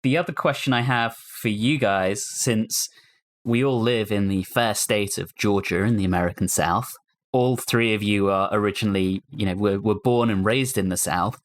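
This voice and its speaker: A man's voice: on the low side, normal speed. A man hosting a casual panel discussion podcast, talking conversationally with fellow hosts. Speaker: podcast host.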